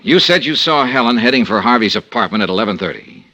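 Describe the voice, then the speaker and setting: A hard-boiled American investigator from a 1950s radio drama. His voice is bright and forceful, with animated pitch and a clipped, wry way of pressing people. It comes from a vintage radio recording. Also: fast talker, heavily acted. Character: tough, persistent, wry male private investigator narrating his case.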